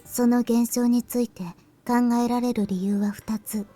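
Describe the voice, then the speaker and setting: A woman playing a grave, quiet teenage schoolgirl in a Japanese visual novel, speaking in a soft, breathy, measured way. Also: a little high, flat delivery, faint music behind, lightly acted. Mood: serious, deducing. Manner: measured, hushed, serious.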